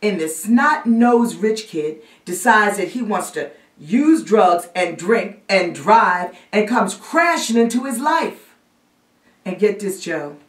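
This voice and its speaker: A woman's voice: mid-range voice, lively intonation, slow, pitched above the performer's own voice. A woman playing an indignant newspaper reporter pleading emphatically with an editor in a theatrical monologue. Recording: roomy echo, distant microphone.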